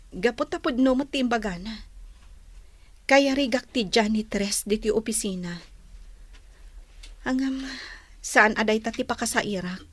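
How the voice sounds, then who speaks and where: An actress playing a meek, self-pitying young office worker in an Ilocano radio drama, hesitant and apologetic. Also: female voice, on the high side, dry recording.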